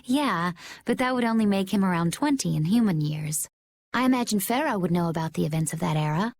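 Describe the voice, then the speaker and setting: A woman playing a composed, knowing young adventurer in a game, explaining things calmly. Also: fairly low pitch, brisk, a little hiss or hum. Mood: pensive. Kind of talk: English game dub acting.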